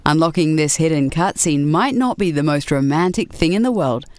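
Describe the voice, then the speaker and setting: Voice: medium pitch, expressive, moderate pace. A narrator reading a scripted video game countdown as a voice-over.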